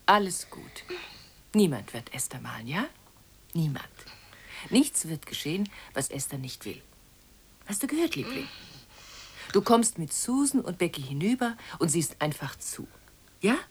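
Genteel wife and mother, radio drama; slightly low, very varied melody, soothing yet easily exasperated. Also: very animated, unhurried, long pauses, slightly rough, full character voice. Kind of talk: German radio drama acting.

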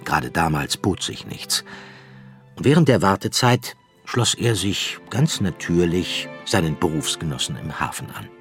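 Casting: male narrator for literary radio play, very low voice, measured delivery over faint music. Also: lively intonation, fairly bright tone. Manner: measured, storytelling in long literary sentences.

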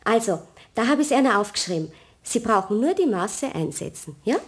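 A woman's voice: mid-range voice, big pitch swings. An actress playing a friendly, obliging neighbor woman in a radio play. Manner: warm, obliging, brisk. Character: friendly Bavarian neighbor woman who knits.

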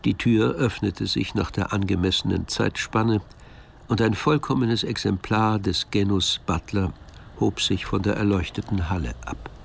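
A man narrating a radio drama, telling the story in a measured, precise literary style. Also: lowish voice, vocal fry, a little hiss or hum. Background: music.

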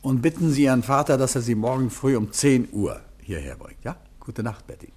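Gentleman sleuth male actor, assured and probing, highly varied pitch, vintage radio play with faint noise. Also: expressive, fairly quick, natural voice. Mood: persuasive, calm. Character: alert, courteous, authoritative male amateur detective. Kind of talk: 1950s German radio play acting. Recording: faintly noisy 1950s radio recording.

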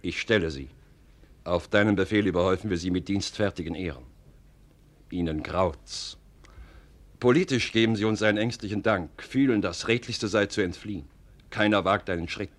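An actor playing a loyal, coolly ruthless officer in a classical radio play, speaking with deliberate, formal stage diction. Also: male voice, deep voice, close to an everyday voice. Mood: coolly confident.

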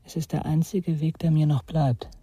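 An actor playing a guilt-ridden, frightened young man, confessing haltingly and with resignation in a radio crime drama. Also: a little high, fairly even intonation, dark tone, breathy edge, dry recording. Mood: resigned, fearful.

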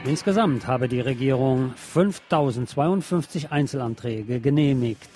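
A man reading scripted news in a measured, formal manner. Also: medium pitch, lively intonation, music in the background. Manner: measured, formal read-out delivery. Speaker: news reader.

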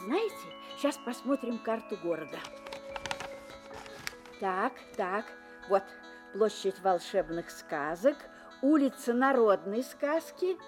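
Kindly Keeper of tales in a children's audio play: highish, very animated, inviting storyteller. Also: female voice. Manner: inviting, addresses children, unhurried, expressive. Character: kindly, wondering female Keeper of Fairy Tales. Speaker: storyteller and guide.

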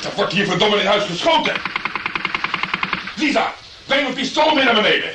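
An actor playing a hapless, indignant private detective in a radio drama, exasperated and protesting. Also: male voice, sits high, expressive, normal speed, noisy background. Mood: panicked.

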